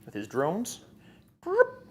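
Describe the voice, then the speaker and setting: A man's voice: sits high, huge pitch swings, slow pace. A man playing a tabletop wargame on a live stream, thinking aloud about his moves and often restarting sentences. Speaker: wargame player. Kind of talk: casual live game chat.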